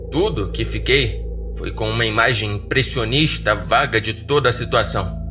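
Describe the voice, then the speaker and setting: A man with a Brazilian accent reading scripted first-person horror fiction as a podcast narrator. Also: medium pitch. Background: music bed.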